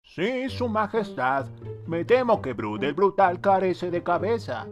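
A man's voice: sits high, big pitch swings, a little slow. A man playing a meek royal servant. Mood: deferential. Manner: formal, deferential. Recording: distant voice under music.

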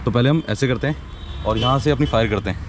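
A man's voice: mid-range voice, fast talker. A young man giving an eager, step-by-step casual demonstration of equipment while working outdoors beside a car. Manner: eager, explains step by step while handling gear. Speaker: product reviewer. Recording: noisy outdoor recording with spraying washer.

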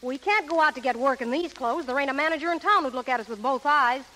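A high-pitched, sardonic young showgirl in a 1930s radio comedy. She wisecracks in slang over a slightly hissy old recording. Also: fast, pushed and bright, rough recording. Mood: brash, sardonic.

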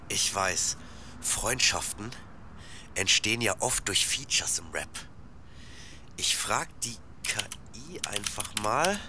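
A man's voice: fairly low pitch, pushed and bright, a little hiss or hum, exaggerated voice. Man acting easygoing rapper dude, slangy, colloquial, slightly naive, audio drama dialogue. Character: laid-back slangy male rapper, a bit naive.

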